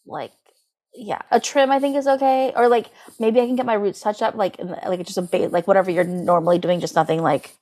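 A woman's voice: mid-range voice, fairly even intonation, fairly quick, a little mellow. Casual chatty woman podcaster. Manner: conversational, heavy use of "like". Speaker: podcast co-host. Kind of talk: casual astrology podcast chat.

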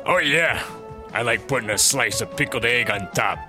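A man's voice: mid-range voice, animated, moderate pace, music in the background, close to an everyday voice. A man playing a jovial, folksy, slightly lewd husband in a comedy audio drama, casual and winking. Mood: genial.

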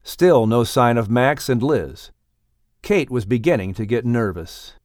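A man's voice: mid-range voice, expressive, measured pace, darkish tone, no room sound, studio quality. A man announcing and narrating a scripted story podcast.

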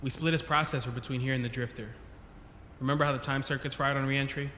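A man's voice: mid-range voice, fairly even intonation, fast, bright, forceful tone, lightly acted. A man playing a troubled, remorseful scientist in an audio drama, earnest and a little hesitant. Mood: guilty. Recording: echoey hall, faint noise.

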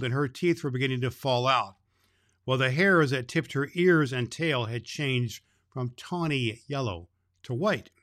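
A man's voice: medium pitch, a bit bright, no echo, clean studio sound. A man reading a children's story aloud as a storyteller, expressively, with small stumbles over the words.

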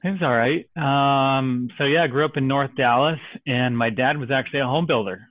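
A man from Texas speaking as a real estate investor guest on a podcast, relaxed and joking. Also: medium pitch, moderate pace, dry recording, narrow, tinny audio. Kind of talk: podcast interview.

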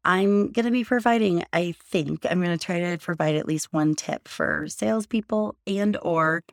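A sleepy, hoarse woman in her thirties hosting a podcast, rambling in a chatty way and circling back to her point. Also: lowish voice, moderate pace, no echo, studio quality. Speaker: podcast host. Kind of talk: casual solo podcast talk.

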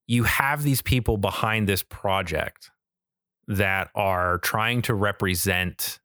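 A man's voice: fairly low pitch, slow pace, pushed and bright, no room sound. Enthusiastic, sweary man in a dry recording. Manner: enthusiastic, profane, peppered with "like" and "right?". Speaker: podcast co-host. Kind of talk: casual podcast chat about games and films.

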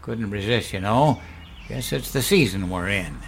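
An actor playing a folksy older grandfather in an audio drama, genial and halting, with something sinister underneath. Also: on the low side, expressive, creaky, a little hiss or hum. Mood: chuckling, evasive.

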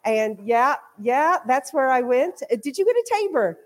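A woman's voice: high-pitched, big pitch swings, normal speed. A woman from Oklahoma with an American accent, giving an informal sermon as a visiting preacher, conversational and rolling through repeated phrases. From Oklahoma, United States. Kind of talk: informal sermon with personal testimony. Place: speaking to a congregation at a church.

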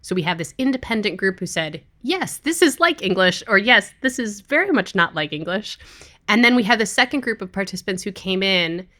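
A woman podcast host with a bright, crisp voice asks questions in an engaged, chatty way. She is quick to sum up what her co-host has just said. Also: medium pitch, expressive. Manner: quick, engaged, sums up the guest's points. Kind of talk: interviewing, casual chat.

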